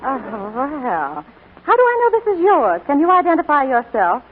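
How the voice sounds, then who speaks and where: A woman's voice: high-pitched, huge pitch swings, moderate pace. An actress playing a witty, teasing young American woman in a 1940s radio romantic comedy. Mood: playful. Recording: crackly, narrow-band 1940s radio broadcast.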